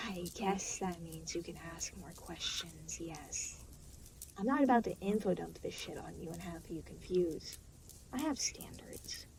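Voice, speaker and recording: A woman's voice: lowish voice, unhurried, creaky, noisy background. A woman playing an aloof, reluctant, weary demon guide in an intimate audio roleplay, speaking in a dry, matter-of-fact, hesitant way. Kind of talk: intimate scripted audio roleplay spoken to the listener.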